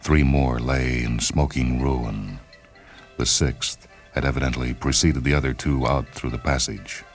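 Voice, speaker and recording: Extremely deep-voiced man narrating a story. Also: fairly even intonation, a little slow, faint music behind. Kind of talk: scripted dramatic story narration.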